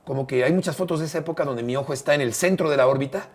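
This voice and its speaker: A middle-aged man with a Mexican accent, a broadcaster telling a detailed story as an interview guest, measured and hesitant at times. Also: fairly high pitch. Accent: Mexican Spanish. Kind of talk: conversational storytelling.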